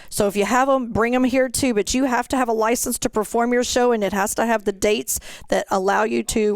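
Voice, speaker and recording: A woman with a fairly high, smooth voice walks listeners through procedures as an organizer. Her delivery is instructional and step-by-step, like a briefing for directors. The sound is dry and close, with very little room to it. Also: no echo. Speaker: contest organizer presenting an information session. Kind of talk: informational presentation to directors.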